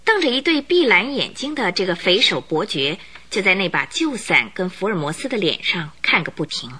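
A woman's voice: medium pitch, big pitch swings, moderate pace, bright, forceful tone, slightly rough. A woman narrator telling a radio drama story from a script in a vivid, suspenseful, dramatic way.

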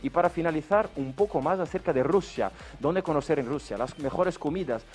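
A man's voice: a little high, brisk, a little mellow, soft background music. A man hosting a casual podcast, talking with lots of enthusiasm and easy swearing. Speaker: podcast host. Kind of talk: casual chat.

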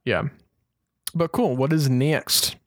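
A man with an average-pitched voice co-hosts a casual news discussion. He talks conversationally, with a fan's enthusiasm. The sound is very dry, without room echo. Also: slow. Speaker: podcast co-host.

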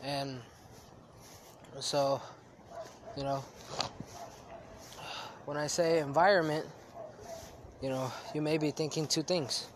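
A man with a cold hosts a solo podcast, sniffling as he talks. His voice sits a bit high for a man, and he rambles loosely with many fillers. Faint street and traffic noise hums underneath. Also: fairly even intonation, takes it slowly, long pauses. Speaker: podcast host. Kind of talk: casual solo podcast talk.

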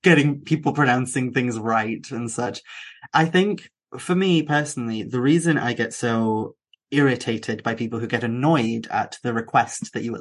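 A man hosting a podcast, talking in an animated, opinionated way and laughing often, recorded on a computer mic over a video call. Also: mid-range voice, husky. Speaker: podcast host. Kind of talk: casual podcast conversation. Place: at home in front of a computer.

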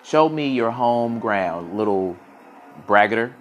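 A man's voice: fairly low pitch, measured pace, slight background noise, natural voice. Man voicing a proud, mocking falcon. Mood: irritated. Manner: mocking, questioning. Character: big fierce falcon, proud, intrigued, scornful.

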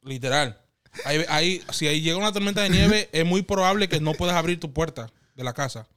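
A man in his thirties from the Dominican Republic with a Dominican accent, telling stories as a guest in a casual interview, animated and quick to laugh. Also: a little high, clear, resonant tone. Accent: Dominican Spanish. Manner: animated, laughs often, quotes others in lively voices.

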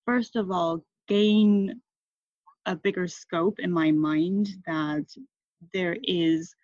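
A woman's voice: on the low side, steady tone, extremely slow, a little mellow, no room sound. A woman from Taiwan in her thirties, a guest in an interview, speaking thoughtfully with many fillers and restarts. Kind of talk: reflective conversational interview. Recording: dull muffled sound, dry.

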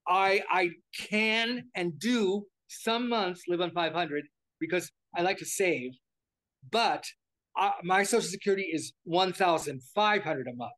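An older American man with a high-pitched voice, chatting through an interview. He rambles, stumbling over repeated words and restarting his sentences. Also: unhurried, a bit bright. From United States. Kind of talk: casual interview talk.

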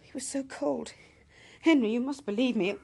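Husky, anxious British mother. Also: on the high side, a little mellow. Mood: frightened. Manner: agitated, halting, breathy whispers. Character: anxious suburban mother and housewife, frightened and fretful. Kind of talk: British radio drama acting.

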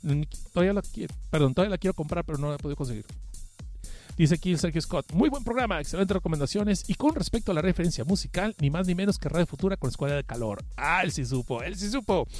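Higher-voiced Mexican man with a cold. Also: lively intonation, fast, darkish tone, faint music behind. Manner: informal, slangy, self-deprecating. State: has a cough and cold. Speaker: podcast host. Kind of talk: casual live stream chat, reading listener comments.

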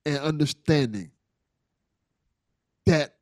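A man's voice: a little high, slow, dry recording, clean studio sound. A man hosting a podcast in casual conversation, earnest and appreciative, often repeating things for emphasis. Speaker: podcast host.

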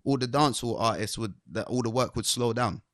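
A man's voice: medium pitch, a little fast, a bit bright, dry recording, studio quality. A young man from Barbados with a Barbadian accent, chatting casually as a guest, laid-back and laughing often. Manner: laid-back, laughs often, speaks in a Caribbean-British slang mix. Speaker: guest soca artist. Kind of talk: casual podcast chat.

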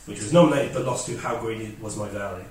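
A man's voice: on the low side, quick pace, a loud crowd. A man hosting a casual film chat and giving firm opinions. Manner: leads the questions, opinionated. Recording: echoey room, distant mic.

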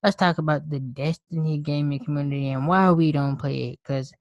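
A man's voice: fairly high pitch, steady tone, brisk, no echo, lo-fi sound. A young man hosting a casual podcast, laid-back and joking. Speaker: podcast host. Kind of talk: casual chat.

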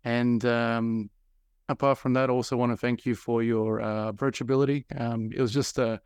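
A man's voice: medium pitch, level tone, normal speed, darkish tone, clear, resonant tone, no room sound, clean studio sound. A man hosting an interview, talking in a rambling way full of fillers. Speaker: podcast host. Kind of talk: casual interview.

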